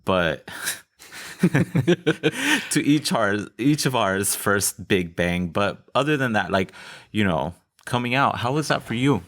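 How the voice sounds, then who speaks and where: A man's voice: lowish voice, animated, takes it slowly, a bit bright. A man from Mexico co-hosting a podcast in casual chat, rambling through asides and laughing easily. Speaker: podcast co-host.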